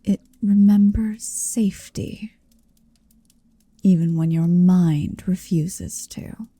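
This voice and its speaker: Casting: woman to play an ancient possessive she-dragon for ASMR roleplay, very dark soft tone, extremely slow delivery, tender but commanding, often whispering. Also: fairly low pitch, no room sound, full character voice. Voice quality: soft, breathy. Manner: slow, deliberate, hushed, often slipping into whisper. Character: possessive centuries-old female dragon in human form, tender, commanding. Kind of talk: ASMR roleplay, intimate second-person monologue.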